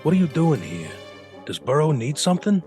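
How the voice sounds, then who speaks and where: A man's voice: a little high, big pitch swings, brisk, dark tone, faint music behind. A man playing a stern, suspicious taskmaster mouse in an audio drama.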